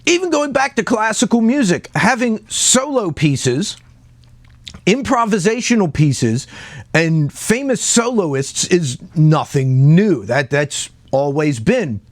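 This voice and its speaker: Man, slightly high voice, casual video host, conversational, halting word searches, very dry close sound. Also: very animated, no room sound. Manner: conversational, repeats words and trails off searching for names. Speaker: video host commenting on music history. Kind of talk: casual unscripted commentary.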